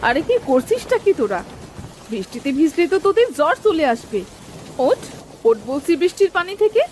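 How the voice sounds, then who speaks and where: A woman's voice: very high-pitched, a little fast. A woman playing a worried, scolding village wife and mother in a cartoon, with rain heard behind her. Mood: angry scolding. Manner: nagging, exasperated. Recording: steady rain noise behind voice.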